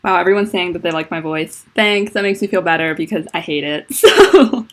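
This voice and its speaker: Deep-voiced woman with a lisp. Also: lively intonation, fairly quick, a bit bright. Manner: rambling and self-conscious, many fillers. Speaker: livestreaming fanfiction writer. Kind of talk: casual livestream chat. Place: at home indoors. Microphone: laptop mic.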